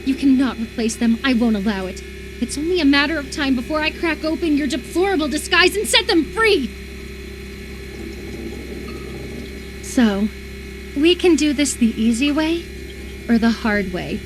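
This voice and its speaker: A woman's voice: high voice, animated, moderate pace, background noise. A woman voice-acting an unhinged, obsessive lover in a horror visual novel, intense and volatile, swinging between tenderness and menacing fury. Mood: threatening. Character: unhinged, obsessive lover convinced an impostor replaced their beloved.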